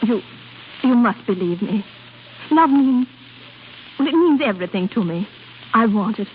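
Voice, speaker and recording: An actress plays a proud, lonely prima donna in a 1930s radio play. Her voice is on the high side, coy and conflicted, delivered with theatrical flair. The sound is narrow and tinny, like an old broadcast, with faint noise. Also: lightly acted. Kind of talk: 1930s radio drama acting.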